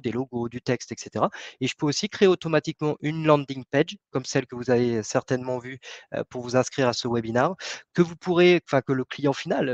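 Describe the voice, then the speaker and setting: A man's voice: medium pitch, no room sound, muffled recording. A man giving a product presentation in a webinar, hesitant, with many fillers and restarts.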